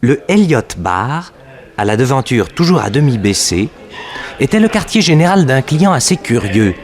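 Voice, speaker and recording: An actor as narrator of a 1960s radio crime serial, delivering dramatic scripted narration. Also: male voice, mid-range voice, big pitch swings, normal speed, lightly acted. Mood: ominous. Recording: old radio drama with music behind.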